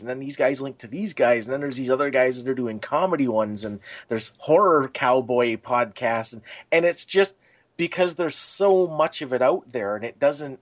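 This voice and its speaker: A man co-hosting a podcast, chatting casually in a rambling, colloquial way. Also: mid-range voice. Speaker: podcast co-host.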